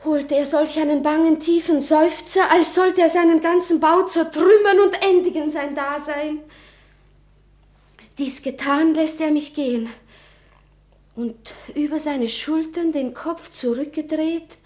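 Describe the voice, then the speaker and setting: A woman's voice: high-pitched, takes it slowly, darkish tone. An actress playing a frightened, obedient young noblewoman in a classical radio play, theatrical and earnest. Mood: shaken, hushed wonder. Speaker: radio drama actor.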